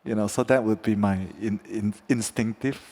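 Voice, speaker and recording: A man's voice: lowish voice, fairly even intonation, mellow. A man from Singapore speaking on a panel, hesitant and reflective, with frequent stutters and restarts. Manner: hesitant, slow, stuttering delivery. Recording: reverberant hall.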